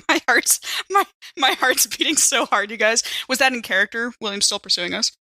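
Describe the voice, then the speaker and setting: A woman playing a tabletop role-playing game, brisk and decisive, moving between casual talk and character lines. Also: a little high, expressive, sharp tone, no room sound.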